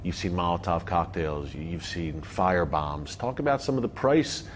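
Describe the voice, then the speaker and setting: A man's voice: deep voice, unhurried, slight background noise. A man presenting and interviewing as the host of a programme.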